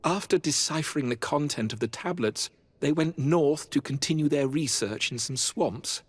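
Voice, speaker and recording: Game cleric: male actor plays pious, fretful man, formal, husky voice, long hall reverb. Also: on the high side, moderate pace, lightly acted. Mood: worried, pleading. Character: anxious, pious cleric of a religious order. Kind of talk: video game dialogue acting.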